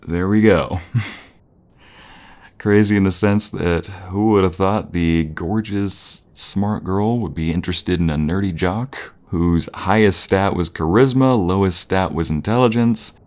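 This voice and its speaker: A man's voice: low voice, a little mellow. A man acting an adorkable, gushing nerdy jock boyfriend in an intimate audio roleplay, affectionate and teasing. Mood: playful, animated. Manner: affectionate, teasing, breathy pauses and chuckles.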